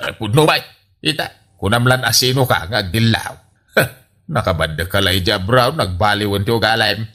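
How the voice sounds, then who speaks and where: A male actor plays an indignant father in an Ilocano radio comedy. His voice is piercingly sharp and forceful, rising and falling freely as he scolds and grumbles in an Ilocano accent. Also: lowish voice, lively intonation, moderate pace. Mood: scolding. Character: scolding father, unimpressed by his putting-on-airs daughter.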